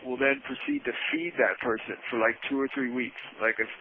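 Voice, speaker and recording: Male podcast co-host on a prison phone; mid-pitched, casual, blunt storytelling through a narrow, noisy line. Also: steady tone, brisk. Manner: informal, matter-of-fact, frequent swearing. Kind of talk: casual first-person storytelling. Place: inside a prison, on a phone call. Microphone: telephone handset.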